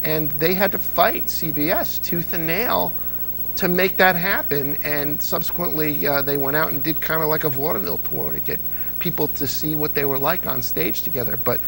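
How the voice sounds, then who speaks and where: A man's voice: on the high side, moderate pace, creaky, fairly bright tone, slight background noise, rough recording. A man being interviewed as an old-time radio fan, telling anecdotes eagerly with plenty of fillers. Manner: enthusiastic, anecdotal storyteller with many fillers. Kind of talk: casual interview.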